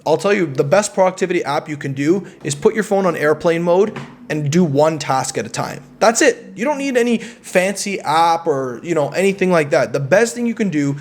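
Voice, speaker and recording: A man's voice: fairly high pitch, lively intonation, normal speed. A young man vlogging, giving casual self-improvement advice in an emphatic way. He often repeats words and adds "right?".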